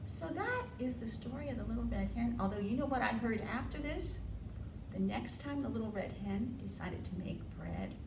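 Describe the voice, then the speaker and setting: A woman's voice: a little high, sing-song, normal speed. A woman hosting storytime for young children, coaxing them along with questions as she tells stories and rhymes. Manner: coaxing, asking the listeners questions. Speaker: children's library storytime host. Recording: echoey room, distant mic, clear noise.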